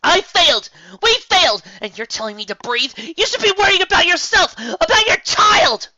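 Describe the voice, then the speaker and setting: Woman doing a frantic screaming unicorn princess, high and shrill, lo-fi. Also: very animated, a little fast, no echo, recorded from a distance. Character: panicked young female unicorn princess.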